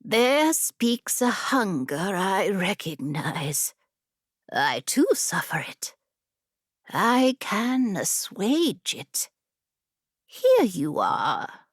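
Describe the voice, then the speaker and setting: A woman voicing a tall, ancient female genie in a fantasy audiobook, sly and predatory, with a throaty, breathy purr and drawn-out, teasing delivery. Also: medium pitch, very animated, no room sound, studio quality, exaggerated voice. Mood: sly, tempting.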